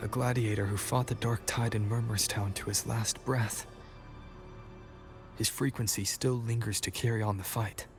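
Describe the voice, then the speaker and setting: An actor playing a calm, earnest adventurer ally in a video game, measured and sincere. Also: male voice, lowish voice, music in the background, close to an everyday voice.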